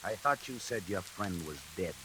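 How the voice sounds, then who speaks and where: An actor playing a cool, polite elevator operator with hidden vengeance in a 1940s radio suspense play, terse and deliberate. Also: male voice, low-pitched, expressive, sharp tone, slight background noise. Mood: quietly menacing. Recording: old radio recording.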